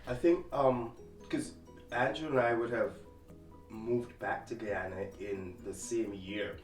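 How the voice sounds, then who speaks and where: A man's voice: mid-range voice, fairly even intonation, slow. A man hosting a casual chat, asking long, rambling questions. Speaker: host. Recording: roomy, distant mic, faint music.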